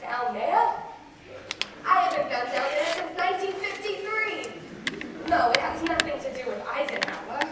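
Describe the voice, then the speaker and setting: A woman's voice: sits high, expressive, moderate pace, crowd noise. A woman playing a reticent, embarrassed old woman in her seventies in a conversational stage monologue, flustered and evasive. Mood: disgusted, dismissive. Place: stage in a large echoing hall. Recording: echoey hall, distant mic, noisy.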